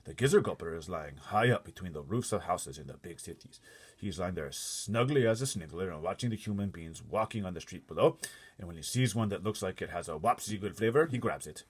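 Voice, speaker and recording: A man voicing a big, gentle, earnest storybook giant who speaks emphatically in muddled grammar and made-up words. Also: low voice, expressive, brisk, husky. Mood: eager, explaining. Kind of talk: storybook character voice.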